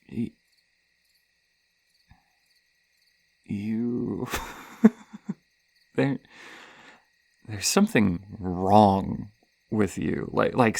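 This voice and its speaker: A man's voice: fairly low pitch, no echo, exaggerated voice. A man playing a lonely, insecure masked killer in an intimate horror ASMR roleplay, stammering and flustered. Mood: flustered, disbelieving. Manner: stammering, halting, breathy sighs and nervous laughs.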